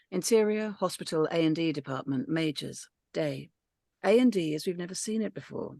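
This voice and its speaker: Gravelly, dry-voiced woman narrator. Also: sits low, no echo. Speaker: narrator reading stage directions. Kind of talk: script read-through narration.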